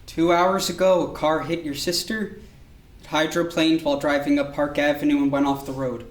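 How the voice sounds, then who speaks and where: Distant in an echoey room, a man acts a grave official in a stage drama. His voice is on the higher side for a man, formal and measured. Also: little pitch movement, lightly acted. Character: grave official breaking news of a fatal crash. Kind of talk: staged play reading.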